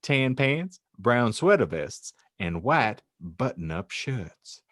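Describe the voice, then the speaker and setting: A man's voice: mid-range voice, sing-song, takes it slowly, a bit bright, no room sound, clean studio sound. A man hosting a comedy podcast and narrating, with a mock-solemn, wry, theatrical delivery. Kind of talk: comedic banter and dramatic story reading.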